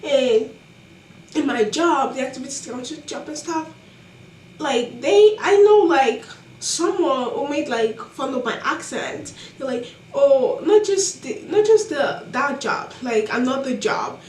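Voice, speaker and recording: A young woman with a non-American accent telling college stories casually, animated and exasperated, with many fillers. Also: sits high, slow pace, roomy sound, recorded from a distance, a little hiss or hum, rough recording. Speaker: college student vlogger. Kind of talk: casual storytelling to camera.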